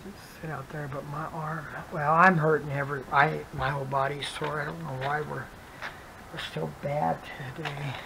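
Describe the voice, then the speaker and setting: Gravelly, hoarse adult rambling softly. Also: mid-range voice, level tone, normal speed, background noise. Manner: rambling, soft-spoken, drifts into whispers. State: hoarse, voice cutting in and out. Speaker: craft vlogger. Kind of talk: casual chat while crafting.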